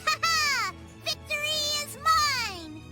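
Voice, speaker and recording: A woman's voice: very high voice, very animated, slow, over music, full character voice. A woman voicing a spirited, bossy young girl in a video game, cocky and playful. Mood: gleeful.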